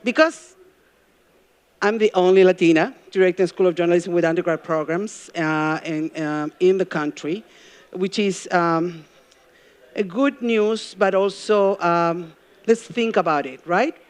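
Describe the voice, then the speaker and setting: A middle-aged woman from Peru with a Peruvian Spanish accent, giving a live talk as a journalism professor. She engages the crowd with questions and pauses often with ums and uhs. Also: lowish voice. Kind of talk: live stage talk to an audience. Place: event stage before a live audience.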